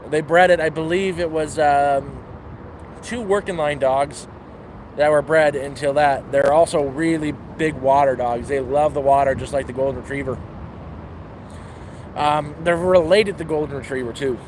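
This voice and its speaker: A man with a Toronto accent talks to his phone camera as he walks a city street, a little higher-voiced than most men. He rambles along in a loose, chatty way. Faint traffic hum sits under his voice. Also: fairly even intonation, moderate pace, a little mellow, low-quality recording. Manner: rambling, chatty, talks while walking outdoors. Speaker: vlogger. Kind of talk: casual walking vlog talk.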